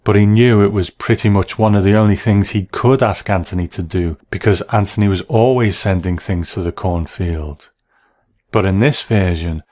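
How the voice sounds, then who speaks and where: A man delivering a measured, scripted video-essay commentary as narrator and critic. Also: deep voice, a little mellow. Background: music.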